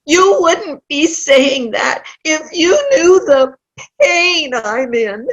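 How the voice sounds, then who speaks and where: A woman's voice: sits high, expressive, dull audio. A woman playing a querulous, sour old woman who complains about her pains in a comic play reading. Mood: pained, grumbling. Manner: querulous, slow, dramatic complaining. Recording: video call play reading.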